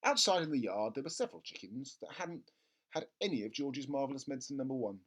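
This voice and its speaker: A storyteller reading a children's book aloud in an expressive narrating style. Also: medium pitch, quick pace. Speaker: storybook reader.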